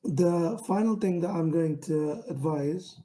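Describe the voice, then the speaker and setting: A man with a London accent speaking straight into the camera in an online talk. His voice is very dark and mellow, and he delivers it slowly in a level, monotone way. He pauses often, with many ums and restarts. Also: fairly high pitch, a little hiss or hum. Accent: London English. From England. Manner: self-described monotone, many fillers and restarts. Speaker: founder giving a pitching talk.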